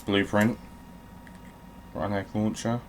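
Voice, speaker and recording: A man's voice: lowish voice, flat delivery, slow, a little hiss or hum. A man giving casual, sweary commentary while playing a video game, in a wry, grumbling manner.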